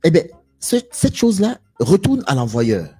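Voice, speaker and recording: Man lecturing viewers live, swoops all over in pitch, keeps hammering the same phrases, mellow tone. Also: wildly swooping pitch, moderate pace, husky, faint music behind. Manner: emphatic, preachy, repeats phrases, rhetorical questions. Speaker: live stream speaker. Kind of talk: impassioned monologue to viewers.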